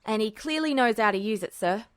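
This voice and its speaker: A woman's voice: a little high, animated, brisk, no room sound, lightly acted. A businesslike male army lieutenant giving a report, as a woman reads his part. The delivery is matter-of-fact in a dry, close recording.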